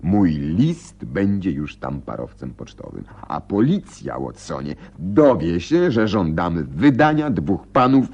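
A slow, gravelly, shrewd detective. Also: male voice, deep voice, sing-song, a little mellow, muffled recording, heavily acted. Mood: triumphant, explaining. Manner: deliberate, self-assured, dramatic. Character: shrewd, determined male detective, coolly vengeful. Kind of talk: Polish radio drama acting.

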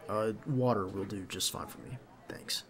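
A man playing a nervous, devoted bodyguard on a date in an intimate ASMR roleplay, speaking softly and hesitantly with some gentle teasing. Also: on the low side, moderate pace, close to an everyday voice. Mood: casual, attentive. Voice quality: creaky. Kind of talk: ASMR roleplay acting, intimate one-sided dialogue.

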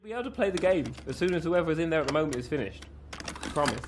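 An actor playing a polite, hurried, apologetic stage manager in a comedy game, a man guiding someone backstage. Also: a little high, lively intonation, background noise, close to an everyday voice. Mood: hurried, placating.

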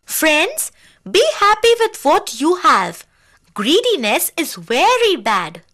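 A woman's voice: sits high, huge pitch swings, slow pace, sharp tone. A woman presenting scripted moral lessons as host of a children's show.

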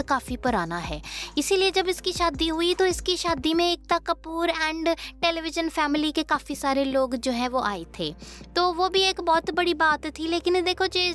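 Brief: woman gossip host, high bright voice, cheeky and teasing. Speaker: gossip show voice-over host. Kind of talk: teasing celebrity gossip chat.

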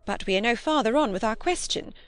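A woman's voice: fairly high pitch, animated, brisk, no echo, lightly acted. Woman voicing a prim, swooping genteel spinster chaperone. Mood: condescending, prim. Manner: prim, moralizing, emphatic. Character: older genteel spinster cousin, prim, plaintive, alarmist chaperone. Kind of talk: audiobook character acting.

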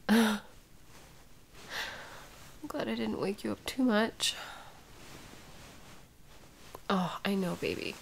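Slow, creaky, sleepy sick girlfriend played by a woman. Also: medium pitch, a little hiss or hum. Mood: weary, affectionate. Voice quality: breathy, often dropping into a whisper. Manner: drowsy, drawn-out, sighing, intimate. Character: sick, sleepy, clingy girlfriend, sweet and whiny. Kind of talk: ASMR girlfriend roleplay audio.

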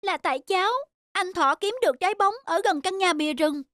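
A woman's voice: very high voice, fairly quick, slight background noise. A woman voicing a small, eager, easily flustered rabbit child in a cartoon dub, with a whiny childlike manner. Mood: explaining. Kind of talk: cartoon dubbing. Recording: loud music under the voice.

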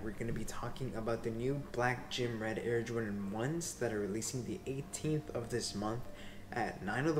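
A man's voice: mid-range voice, background noise. A young man, a sneaker resale vlogger, talking casually to camera with loose slang and lots of "like" and "bro", seated in a small room. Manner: laid-back slang, fillers like "like" and "bro". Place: small indoor room in front of window blinds.

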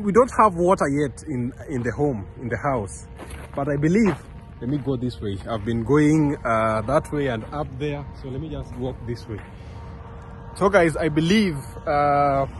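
A young man from Kenya talking earnestly to his phone camera while walking outdoors, rambling with many restarts and fillers. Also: on the high side. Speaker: vlogger. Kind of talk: casual vlog talk to camera. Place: outdoors on a park path beside a road. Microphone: phone camera held close.

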